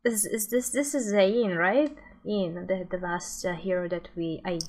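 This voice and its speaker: Slow-paced young woman reacting. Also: medium pitch, fairly even intonation, darkish tone, a little hiss or hum. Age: young adult. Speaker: gaming reaction video creator. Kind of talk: casual reaction commentary.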